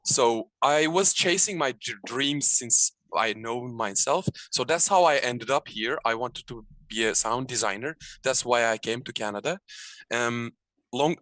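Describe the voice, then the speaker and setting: A man's voice: mid-range voice, sharp tone, no echo, poor audio. A Turkish man calling in over a video call, talking in hesitant, apologetic bursts with lots of restarts, English not his first language. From Turkey. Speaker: caller. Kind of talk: nervous call-in chat.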